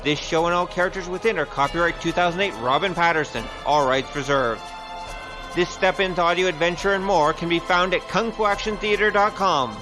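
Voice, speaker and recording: A man's voice: high voice, brisk, rough recording. A man announcing scripted credits for an audio adventure show. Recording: music behind the voice. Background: theme music.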